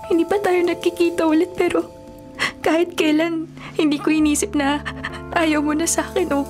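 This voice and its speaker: A woman's voice: very high voice, little pitch movement, normal speed, a little mellow, faint music behind. A woman playing a lovesick young daughter of a rich family in a Filipino radio drama, speaking in a plaintive, earnest way. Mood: wistful, longing. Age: young adult.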